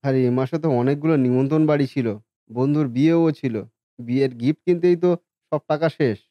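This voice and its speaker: A man's voice: medium pitch, a little fast, no echo, highs cut off, close to an everyday voice. A man voicing a kindly, earnest married brother and husband in a Bengali family cartoon. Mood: worried.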